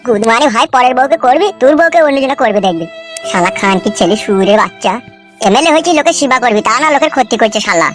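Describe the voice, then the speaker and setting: A man's voice: extremely high pitch, animated, quick pace, a bit bright, faint music behind. A man voicing a whiny, foul-mouthed young village husband quarrelling in a comic cartoon. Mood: bitter, vengeful.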